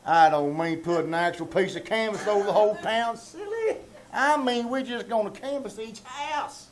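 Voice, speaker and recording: Man as a high-voiced, self-important country fellow. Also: lively intonation, normal speed, a crowd in the background. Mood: exasperated. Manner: pompous, rustic malapropisms, exasperated. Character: self-important, exasperated small-town country organizer. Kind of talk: live old-time radio comedy reenactment. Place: small-town theater stage, live performance. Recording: live theater stage, faint noise.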